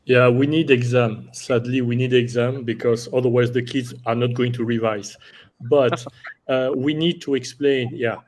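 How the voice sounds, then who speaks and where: A man's voice: mid-range voice, fairly even intonation, moderate pace, a little mellow, rough recording. A man giving his opinions in an unscripted panel discussion, repeating his points as he goes.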